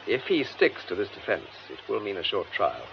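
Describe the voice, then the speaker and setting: Composed English detective superintendent, radio drama. Formal, methodical, varied intonation, slightly noisy recording. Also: male voice, fairly low pitch, wildly swooping pitch, creaky, full character voice. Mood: probing, composed. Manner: measured, formal, precise delivery. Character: composed, methodical male police superintendent explaining a case. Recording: muffled lo-fi radio drama, faint noise.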